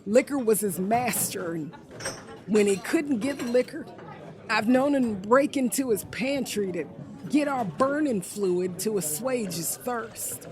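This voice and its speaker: A woman performing a grieving alcoholic father in a radio drama, halting and mournful. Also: on the high side, expressive, music in the background. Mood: anguished.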